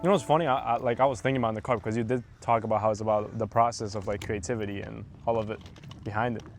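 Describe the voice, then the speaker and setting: A man's voice: fairly low pitch, steady tone, rapid-fire, faint music behind. A young man from Brazil, a podcast guest, chatting casually and talking at length with plenty of filler words. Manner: talkative, rambling, lots of "like" fillers. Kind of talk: casual chat.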